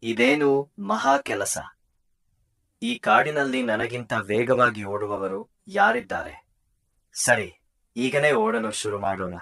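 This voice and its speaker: Man acting proud storybook tiger, gravelly, slow delivery, swooping pitch, commanding. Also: very animated, bright, forceful tone, heavily acted. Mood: boastful. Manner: arrogant, commanding, dramatic. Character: boastful, hasty, powerful male tiger. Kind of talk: children's moral story character acting.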